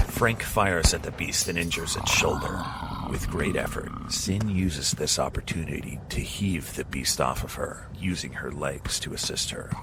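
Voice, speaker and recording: A man with a very deep voice narrates an action story in steady, measured tones. Clear background noise sits under his voice. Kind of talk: scripted second-person narration.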